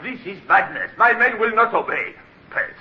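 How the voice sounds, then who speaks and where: An actor playing a French prisoner officer in a radio drama, with a French accent and a hesitant, excitable, indignant manner. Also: male voice, sits high, normal speed. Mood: alarmed, indignant. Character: agitated French prisoner officer, pleading, polite but indignant. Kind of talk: old-time radio drama acting. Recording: dull, echoey vintage radio recording.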